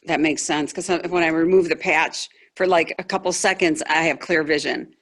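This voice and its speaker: A woman speaking as a patient, describing symptoms conversationally and haltingly on a video call, searching for words. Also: low-pitched, steady tone. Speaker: patient asking a doctor questions.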